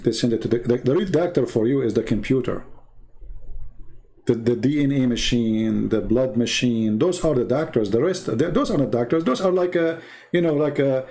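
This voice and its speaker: Gravelly man, rambling and scornful. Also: mid-range voice, dark tone. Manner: rambling, scornful, repeats himself. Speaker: online commentator. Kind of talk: casual monologue.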